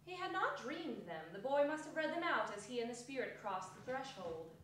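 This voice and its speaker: A woman's voice: high-pitched, big pitch swings, moderate pace. A woman narrating a scripted story in a dramatic stage reading. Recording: very echoey hall, distant mic, faint noise.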